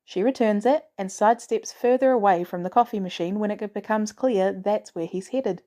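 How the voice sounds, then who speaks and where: A woman's voice: mid-range voice, normal speed, dark tone. A woman reading a scripted story aloud as a narrator. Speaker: audiobook narrator of fan fiction.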